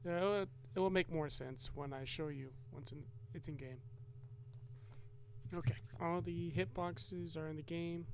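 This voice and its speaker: A man's voice: a little high, a little slow, vocal fry, noisy background. A man narrating a casual game devlog as its developer and host, explaining in a hesitant, rambling way.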